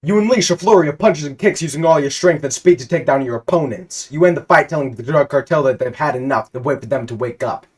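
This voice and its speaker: Man reading an absurd story deadpan. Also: fairly high pitch, fast, hardly any pauses, breathy edge. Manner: deadpan narration, breaking into laughter.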